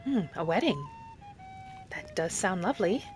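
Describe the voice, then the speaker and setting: A woman narrating a fantasy game roleplay in character as a gentle young wood elf, with an unhurried, musing delivery. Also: on the low side, animated, faint music behind. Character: gentle young female wood elf traveler, earnest and principled.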